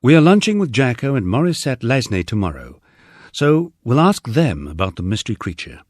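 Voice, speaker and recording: A man with a husky voice reading a wry first-person memoir as scripted audiobook narration, dryly humorous and wordy. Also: medium pitch, very animated, a little fast, a little mellow, no echo, clean studio sound.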